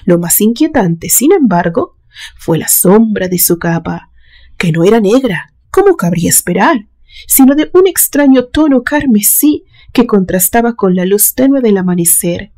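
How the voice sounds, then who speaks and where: A woman reading a literary suspense story aloud in a measured, dramatic storytelling manner. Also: mid-range voice, animated, warm tone. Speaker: narrator reading a horror story.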